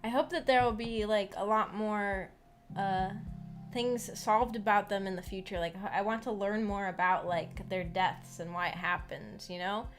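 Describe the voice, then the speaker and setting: A woman's voice: mid-range voice, steady tone, normal speed. A woman hosting a casual livestream, reading out comments and answering them in a hesitant, rambling way that often trails off. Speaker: streamer hosting a visual novel book club. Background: background music.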